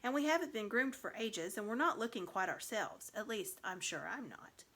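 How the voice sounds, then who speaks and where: A woman's voice: mid-range voice, expressive, normal speed, bright, forceful tone. Woman voicing a meek, earnest talking mare. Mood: earnest, apologetic. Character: humble, gentle, sensible talking mare.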